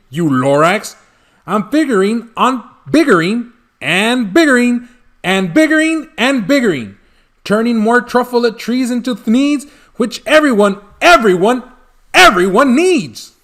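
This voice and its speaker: A man's voice: very high voice, very animated, measured pace, fairly bright tone, pitched above the performer's own voice, exaggerated voice. A man voicing a greedy, defiant storybook businessman, boastful and insistent about his growth. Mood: angry, defiant. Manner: boastful, insistent, repetitive emphasis. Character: greedy, defiant businessman justifying his ever-growing factory.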